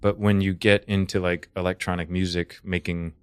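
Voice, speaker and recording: A man's voice: low-pitched, level tone, moderate pace, clean tone, no room sound, clean studio sound. A man in casual podcast chat, explaining things and giving his opinions.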